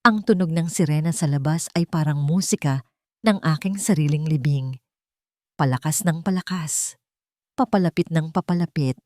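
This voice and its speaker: A woman's voice: low voice, moderate pace, no room sound, studio quality. A woman with a Filipino accent narrating a scripted romance story in a dramatic storytelling style.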